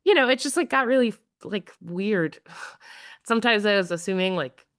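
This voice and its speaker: A woman in her thirties, a journalist guest talking fast and wryly with lots of fillers in a casual interview. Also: medium pitch, lively intonation, dry recording, clean studio sound. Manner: fast, filler-heavy, wry.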